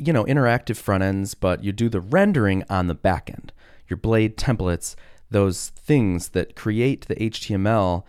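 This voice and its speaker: Very deep-voiced man with a cough. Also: darkish tone, clear, resonant tone, no room sound, studio quality. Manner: rambling, many fillers and restarts. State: sick with a cough, voice deepened. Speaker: podcast host. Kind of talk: casual solo podcast monologue.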